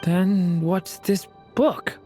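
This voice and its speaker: Shy, low-voiced young boy. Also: drawn-out words, dark tone, faint music behind. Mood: curious. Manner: polite, hesitant. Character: gentle, earnest young dragon boy, shy and unsure. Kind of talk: video game voice acting.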